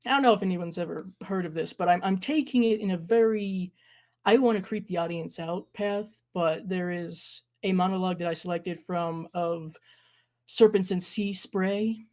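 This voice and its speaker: A woman's voice: lowish voice, normal speed, darkish tone. A young woman, a guest talking about her acting, speaking hesitantly with many fillers and small laughs. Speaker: guest, horror creator and acting student. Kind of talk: casual interview chat.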